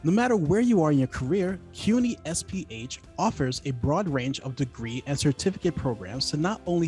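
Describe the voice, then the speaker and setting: A man reading a scripted promotional ad as announcer, in a smooth, polished delivery. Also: on the high side, darkish tone. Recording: voice-over with faint music behind.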